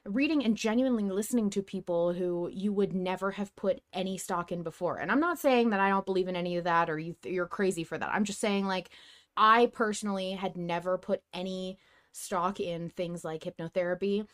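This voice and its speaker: A woman in her thirties hosting a casual fan discussion podcast, talking in an animated, emphatic way and swearing casually. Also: mid-range voice, brisk. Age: 30s. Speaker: podcast host.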